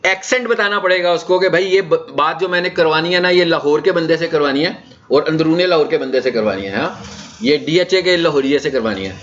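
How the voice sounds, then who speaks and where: Higher-pitched Pakistani man teaching, dull audio. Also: brisk, fairly bright tone. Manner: explanatory, conversational, rhetorical questions and check-ins. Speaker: digital marketing trainer. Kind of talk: informal lecture in an orientation session.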